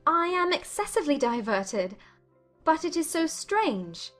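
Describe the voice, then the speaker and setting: Woman playing high, bright young gentlewoman. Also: very animated, measured pace, soft background music, full character voice. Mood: forced cheer. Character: young gentlewoman, politely feigning amusement. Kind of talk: dramatised audiobook reading.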